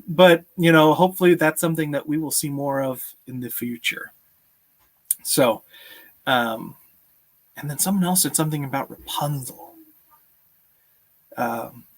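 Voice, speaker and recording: A man's voice: on the high side, fairly bright tone. A man in his thirties hosting a live stream, chatting casually and answering viewer questions in a friendly, appreciative way. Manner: friendly, appreciative, chuckles often. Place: small room at home, indoors.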